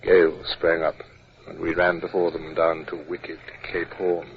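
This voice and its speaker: A man's voice: deep voice, monotone, measured pace, gravelly, slightly rough, full character voice. A man playing a stern, reserved British naval captain who speaks formally and narrates his adventures in a 1950s radio drama. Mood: grave narration. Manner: formal, clipped, commanding. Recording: muffled, hissy old radio recording.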